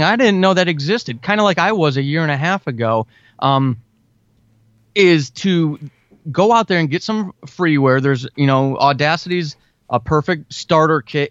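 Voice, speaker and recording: A man's voice: fairly high pitch, a bit bright, clean tone, dry recording. A man speaking as a podcast guest, giving informal, conversational advice step by step in a casual interview. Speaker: podcast guest, writer and audio drama podcaster. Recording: dull, lo-fi, dry close sound.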